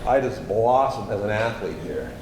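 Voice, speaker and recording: A man's voice: lowish voice, animated, normal speed, a little mellow. An older man, a former camper, telling his personal story. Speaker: former camper giving a testimonial. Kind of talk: personal reminiscence to a group. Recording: echoey room with clear background noise.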